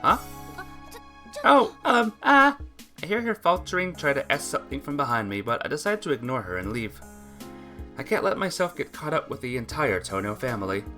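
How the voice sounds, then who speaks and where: Bright-voiced, expressive game playthrough reader. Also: mid-range voice, fairly quick, faint music behind. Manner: stumbles over words and corrects himself or herself. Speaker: gamer reading a visual novel aloud. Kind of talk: game playthrough narration, reading aloud with character voices.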